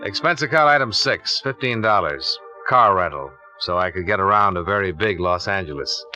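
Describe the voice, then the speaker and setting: An actor playing a cool, methodical insurance investigator in a 1950s radio drama, clipped and matter-of-fact. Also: male voice, low-pitched, expressive, normal speed. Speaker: lead actor and narrator. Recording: dull vintage radio recording, music.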